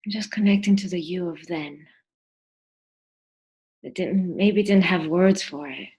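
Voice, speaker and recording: A woman leading a guided meditation in a soft, breathy, husky voice, gentle and deliberate, with pauses between phrases. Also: on the low side, highs cut off.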